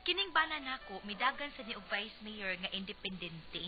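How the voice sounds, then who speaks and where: A woman's voice: mid-range voice, expressive, moderate pace, dull audio, faint music behind, lightly acted. A woman playing a nagging, skeptical wife in a Cebuano radio drama, exasperated and questioning, with a Cebuano Filipino accent. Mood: complaining. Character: nagging, skeptical wife of a local political candidate.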